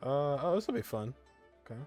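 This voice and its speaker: A man's voice: medium pitch, big pitch swings, a little fast, a little mellow. Young man casually commentating over game music. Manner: reacts with short exclamations and muttered asides. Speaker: gaming streamer. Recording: faint game music behind the voice. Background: video game music.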